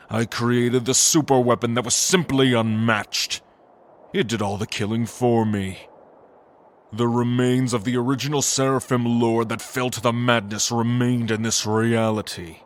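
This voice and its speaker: Man playing a slow, pompous angel tyrant. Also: medium pitch, fairly even intonation, a little hiss or hum, close to an everyday voice. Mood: contemptuous, boastful. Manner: pompous, contemptuous, grandiose. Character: ancient arrogant male angel lord, gloating tyrant villain. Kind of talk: dramatic fantasy audio roleplay.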